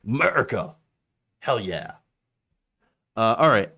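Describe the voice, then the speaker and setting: A man gives casual political commentary on his own show. His pitch moves around a lot, and he keeps stopping on fillers and restarting his sentences. He sets his views apart from the quotes he reads. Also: very animated, takes it slowly, pushed and bright.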